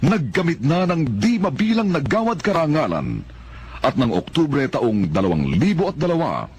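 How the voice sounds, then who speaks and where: A male radio announcer delivers a formal introduction in a grand, ceremonious way. His voice sits fairly high and swings widely in pitch, over faint broadcast noise. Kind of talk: formal scripted programme introduction. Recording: slightly noisy vintage radio broadcast.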